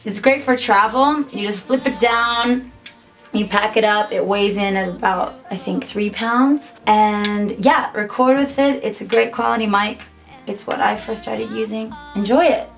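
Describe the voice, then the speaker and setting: A woman's voice: mid-range voice, a little slow, vocal fry. A young woman giving a casual, upbeat tutorial to camera from a small home music studio, chatty and full of "like". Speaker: musician and YouTuber.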